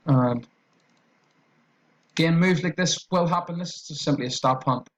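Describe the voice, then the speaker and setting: A man's voice: on the high side, normal speed, muffled recording. A man with an Irish accent talking through a trading tutorial on screen, in a loose, repetitive way with fillers and restarts. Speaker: trading educator.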